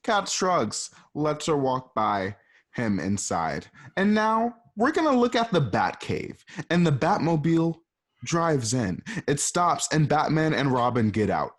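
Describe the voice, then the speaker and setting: A man hosting and narrating an amateur radio play, reading the stage directions casually and adding chatty asides. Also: medium pitch. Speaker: narrator and host.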